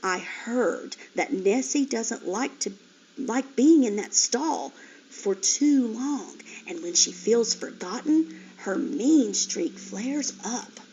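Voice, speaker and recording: A woman's voice: fairly high pitch, lively intonation, slow pace, dark tone, slight background noise. A woman voicing a patient, motherly sow who explains things to her piglets. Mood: soothing. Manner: soothing, explaining. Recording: dull lo-fi recording.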